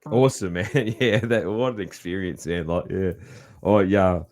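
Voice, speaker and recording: A man hosting a podcast interview, laid-back and loud-voiced, laughing easily and calling people "man". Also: low voice, moderate pace. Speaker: podcast host. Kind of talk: casual interview chat.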